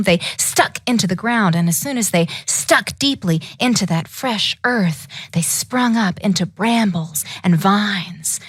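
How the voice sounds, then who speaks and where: A woman's voice: on the high side, sharp tone, no echo. A woman telling a fairytale live, in a dramatic, emphatic way, drawing her listeners in as she goes. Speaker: storyteller.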